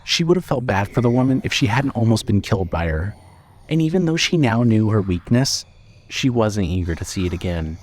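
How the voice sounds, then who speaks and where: A man's voice: on the low side, sing-song, a little fast. A man reading scripted horror fiction as the narrator of an audio drama.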